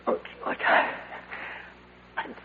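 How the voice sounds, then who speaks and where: A woman's voice: slow pace, lightly acted. Actress as weak, frightened woman in a cavernous echo. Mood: weak despair. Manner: faint, faltering, plaintive. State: weak, cold, thirsty. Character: weary, frightened, starving woman trapped in darkness. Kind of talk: old-time radio drama acting. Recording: dull, reverberant old radio recording, faint noise.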